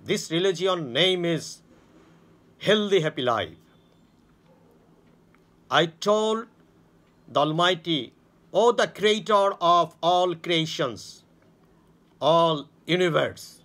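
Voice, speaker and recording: A man from Bangladesh speaking English with a Bangladeshi accent, giving a grandiose, rambling monologue to his viewers with many halting restarts. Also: fairly high pitch, expressive, fairly bright tone. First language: Bengali.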